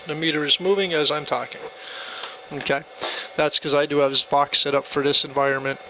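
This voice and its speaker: A man with a bright, forceful voice pitched a little high walks through a demonstration. He explains things step by step in a casual, plainspoken way, with faint noise behind him. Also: flat delivery, fairly quick, poor audio. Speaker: product demonstrator. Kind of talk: casual hands-on tutorial.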